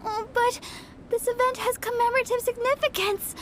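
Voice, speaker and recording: A woman's voice: very high-pitched, level tone, normal speed, a little hiss or hum. A woman voicing an earnest, trusting young church sister in a video game, eager and easily flustered. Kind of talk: video game cutscene dialogue.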